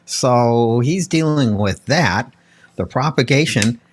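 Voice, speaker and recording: A man's voice: mid-range voice, animated, slow, no room sound. An American man on a casual talk show panel, explaining things piece by piece. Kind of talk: casual chat.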